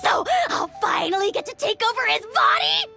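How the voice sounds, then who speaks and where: A woman voicing a tiny, arrogant male spirit creature in a video game, bombastic and imperious, with a gravelly squawk. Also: very high voice, sing-song, normal speed, pushed and bright, heavily acted. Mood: gleeful, demanding. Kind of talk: cartoonish video game voice acting. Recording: background music.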